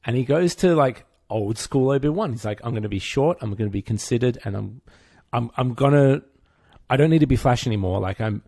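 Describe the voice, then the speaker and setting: A man's voice: mid-range voice, lively intonation, brisk, vocal fry, darkish tone, no room sound. A man co-hosting a podcast, chatting informally in an opinionated, geeky, self-mocking way. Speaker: podcast co-host. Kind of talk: casual pop-culture chat.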